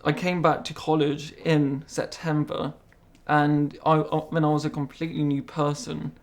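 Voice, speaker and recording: A young man who stammers, being interviewed and speaking slowly and carefully, often repeating words as he goes. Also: a little high, flat delivery. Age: young adult. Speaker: interviewee, apprentice. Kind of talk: interview conversation.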